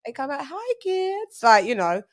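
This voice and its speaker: A woman's voice: sits high, very animated, normal speed. Chatty English woman switching to Spanish. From England. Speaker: livestream host. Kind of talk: casual live chat with viewers.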